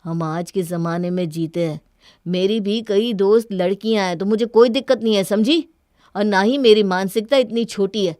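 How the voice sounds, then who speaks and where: A woman's voice: mid-range voice, normal speed, warm tone, no echo, studio quality, close to an everyday voice. A woman voicing an earnest, exasperated young husband in a cartoon story, reasoning and reproachful. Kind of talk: Hindi cartoon story dialogue.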